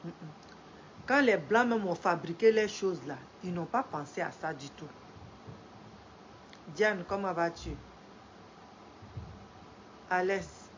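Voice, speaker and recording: A middle-aged woman speaks slowly and in a fairly low voice, congested from a head cold. She repeats her points over and over, building into emphatic preaching. The stream audio is dull and lo-fi, with faint ambience behind her. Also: slight background noise. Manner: repeats phrases, rising emphatic when preaching. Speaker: live streamer greeting viewers. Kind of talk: slow moralizing live-stream talk.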